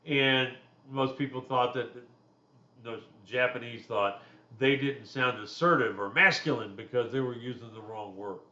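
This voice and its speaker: Deep-voiced man lecturing casually. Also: a little slow, pushed and bright, reverberant, dull audio. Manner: conversational, loose, personal asides. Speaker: instructor. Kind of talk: recorded lecture video.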